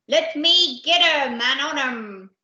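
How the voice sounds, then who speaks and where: A woman voicing a gruff, self-important male pirate captain in a storybook, roaring and grumbling in a West Country pirate accent. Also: sits high, lively intonation, slow, sharp tone, pitched above the performer's own voice, full character voice. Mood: bellowing, combative. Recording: echoey room, slightly dull sound.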